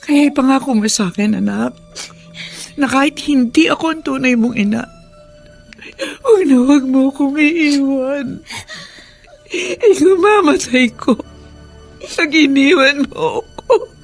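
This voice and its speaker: Radio drama: possessive widowed mother, very high voice, earnest and emotional, music underneath. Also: lively intonation, unhurried, vocal fry, long pauses, heavily acted. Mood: pleading, tearful. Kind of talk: Filipino radio drama acting.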